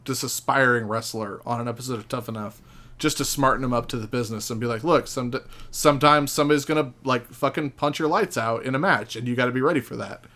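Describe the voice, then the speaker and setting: A man in a casual podcast discussion, recounting stories in an animated, profane way as a guest. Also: medium pitch, fast talker.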